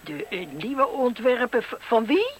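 A man's voice: very high-pitched, sing-song, a little slow, exaggerated voice. An actor playing a cheeky, chuckling old man who gossips on a bench in a radio play. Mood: curious, amused. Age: older. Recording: noisy 1970s radio play recording.

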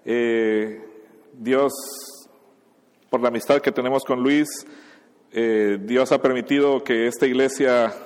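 A man's voice: mid-range voice, steady tone, takes it slowly, darkish tone, in a large hall. A man in his forties from Honduras and Guatemala, a guest pastor giving a conversational personal testimony with fillers and restarts. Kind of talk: personal testimony before a sermon.

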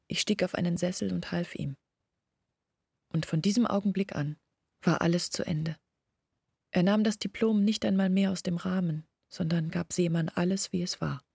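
Junge Dorffrau, knisternd raue Stimme. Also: female voice, lowish voice, vocal fry, mellow, husky, dry recording, clean studio sound. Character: troubled young village woman recounting her family's downfall. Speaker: actress narrating in a literary radio play. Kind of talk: dramatic first-person storytelling in a radio play.

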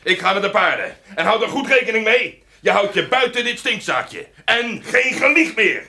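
Gruff middle-aged husband, radio drama, bright forceful voice, lively pitch, blunt, scornful. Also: male voice, moderate pace, exaggerated voice. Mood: angry, mocking. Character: gruff, jealous father and husband, horse dealer. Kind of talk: radio play acting.

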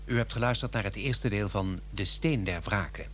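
A man's voice: lowish voice, normal speed, a bit bright, slight background noise. A man announcing a radio play, formally reading out scripted credits.

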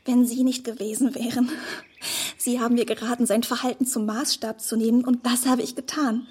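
A woman playing a naive, smitten young woman in a radio drama, earnest and effusive with a breathy delivery. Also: a little high, little pitch movement, brisk, darkish tone. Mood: adoring. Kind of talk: German radio drama acting.

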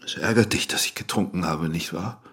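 A man's voice: deep voice, brisk, fairly bright tone, husky. An actor playing a troubled married man under suspicion, defensive, in an intimate radio drama. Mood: tipsy, pleading.

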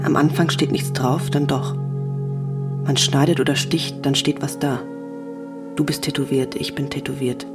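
An actress playing a brooding, coolly evasive woman journalist in a literary radio drama, musing in a flat, detached manner. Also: low-pitched.

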